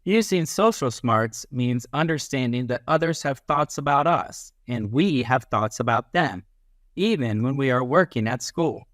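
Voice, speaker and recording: A man with a mid-pitched voice reads a children's book aloud like a classroom teacher. His delivery is very lively in pitch and instructive, stressing key phrases. The sound is dry and close, with little room to it. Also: a little slow, no echo. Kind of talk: expressive children's read-aloud.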